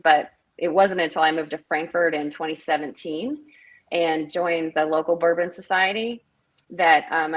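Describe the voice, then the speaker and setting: A middle-aged woman from northern Minnesota talks on a video call in a slightly low voice. She rambles casually and fills gaps with "um" and restarts, sounding easygoing and sincere. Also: fairly bright tone, poor audio. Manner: chatty, rambling with fillers. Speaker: festival director, guest. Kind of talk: casual interview chat.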